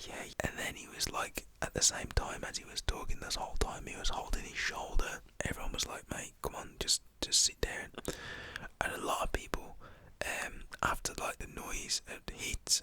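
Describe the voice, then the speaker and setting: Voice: bright, forceful tone, no room sound. A young adult telling a story in a whispered, casual, slang-filled ASMR style.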